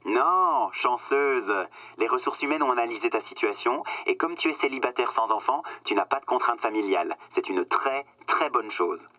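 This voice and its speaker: Smug corporate boss, bright-voiced. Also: male voice, medium pitch, expressive, normal speed. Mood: smugly cheerful. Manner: patronizing, self-satisfied, hesitating with filler words. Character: smug, condescending corporate boss phoning an employee. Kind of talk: satirical radio drama acting.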